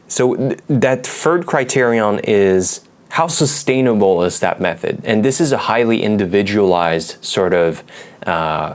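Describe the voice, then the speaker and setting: Young non-native English-speaking man, lowish voice, relaxed unscripted video explainer. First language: not English. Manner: loose and conversational, many fillers and restarts. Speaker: language learning video host. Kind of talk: unscripted explanatory talk to camera.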